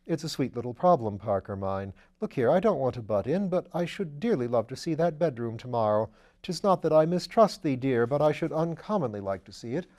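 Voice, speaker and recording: A man's voice: mid-range voice, animated, mellow, lightly acted. A man reading aloud, voicing a witty, flippant aristocratic amateur detective with a theatrical manner. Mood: playful. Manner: playful, theatrical, quick-witted.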